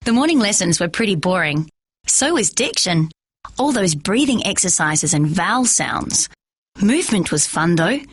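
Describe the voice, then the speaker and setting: A woman with a husky voice reading a children's novel aloud in steady first-person narration. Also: fairly low pitch, normal speed, no room sound. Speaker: storyteller.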